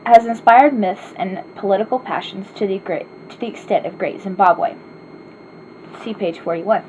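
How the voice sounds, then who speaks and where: A woman's voice: mid-range voice, darkish tone. Chatty woman with an Arkansas accent. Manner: rambling, self-deprecating, chatty. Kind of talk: casual vlog answering questions. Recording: slightly dull home recording with faint hiss.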